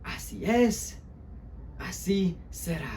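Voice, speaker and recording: Wounded young Mexican-American man, acted by a male performer, stage monologue; slow, halting, higher-pitched, dramatic. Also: animated, darkish tone, a little hiss or hum. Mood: wistful, earnest. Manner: dramatic, halting delivery with long pauses. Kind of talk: dramatic interpretation monologue, speech-competition acting.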